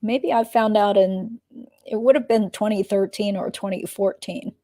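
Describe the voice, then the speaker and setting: Woman playing a deposition witness, dark relaxed timbre, medium pitch, guarded, measured, noncommittal. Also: close to an everyday voice. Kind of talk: dramatic reading of a legal deposition.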